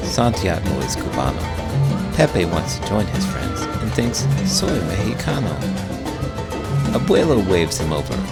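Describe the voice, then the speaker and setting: Male children's storyteller: lowish, crackly voice, expressive and animated, music underneath. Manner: animated, big emotions. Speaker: children's book reader and host. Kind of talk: expressive storybook read-aloud.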